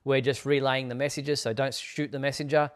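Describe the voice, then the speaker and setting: A man with a clear, resonant, mid-pitched voice hosts a casual fan discussion. He explains his points in a measured manner, with halting phrasing as he finds his words. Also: level tone. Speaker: host of a Superman fan live show.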